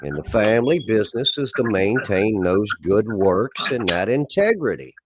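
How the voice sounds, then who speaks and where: A man's voice: fairly low pitch, big pitch swings, normal speed, background noise. A man who is a guest on a livestream panel, speaking in a drawling, preachy way. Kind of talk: casual livestream chat.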